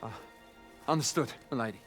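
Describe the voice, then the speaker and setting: An actor voicing a deferential guard in a fantasy video game, with formal, archaic, servile phrasing. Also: male voice, mid-range voice, fairly quick, a bit bright, soft background music, natural voice. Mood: apologetic, discreet. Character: deferential guard, challenging strangers, humble before nobility.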